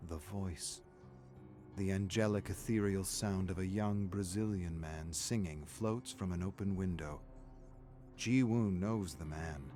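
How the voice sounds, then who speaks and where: A suave, sinister storyteller for a video game, with a fairly low voice. The phrasing is measured and theatrical, savoring a vain killer's dark thoughts. Soft music plays underneath. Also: male voice. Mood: brooding, sinister. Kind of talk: scripted dramatic story narration. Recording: music behind the narration.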